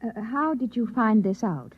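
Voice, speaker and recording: An actress playing a skeptical, weary housewife in an old-time radio drama, dry and exasperated. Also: female voice, fairly high pitch, expressive, moderate pace, husky. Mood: dry disbelief. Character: skeptical, weary housewife doubting a wild tale. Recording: dull old radio recording.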